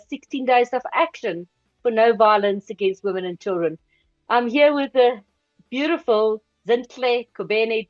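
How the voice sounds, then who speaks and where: A woman's voice: a little high, no echo, dull audio. A woman hosting an interview, asking hesitant questions with fillers. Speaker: interviewer, host.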